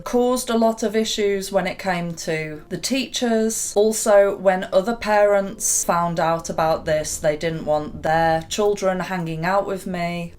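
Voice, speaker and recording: Deep-voiced woman telling her story. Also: a little mellow. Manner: candid and reflective, with small laughs. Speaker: YouTuber. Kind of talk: personal storytelling to camera.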